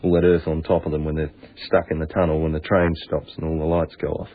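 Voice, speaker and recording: An actor playing a blunt Australian tunnel night worker in a radio drama, griping in colloquial speech with a husky voice. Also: male voice, extremely low pitch, little pitch movement, fast talker. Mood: wary. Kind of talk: naturalistic radio drama acting. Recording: narrow, lo-fi phone-like radio recording.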